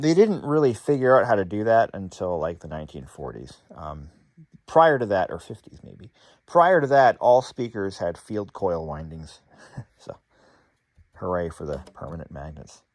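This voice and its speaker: A man's voice: lowish voice, very animated, normal speed, no echo. A man hosting a hobby repair channel, talking casually to viewers in a rambling, wry way with sarcastic asides.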